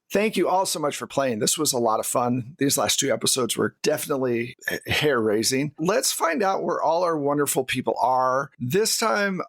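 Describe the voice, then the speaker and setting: A man's voice: mid-range voice, fairly bright tone, no room sound. A man working as game master and host, narrating a tabletop roleplaying game.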